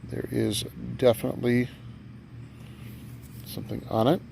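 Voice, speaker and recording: Man, low voice, gravelly fry, halting understated delivery, casual outdoor vlog, faint background noise. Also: flat delivery, darkish tone. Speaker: metal detecting vlogger. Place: outdoors on rural farm property.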